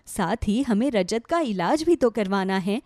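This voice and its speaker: A woman's voice: mid-range voice, expressive, unhurried, dark tone, dry recording, clean studio sound, close to an everyday voice. A woman voicing a worried, devoted village wife and mother in a cartoon story, with a pleading, concerned manner.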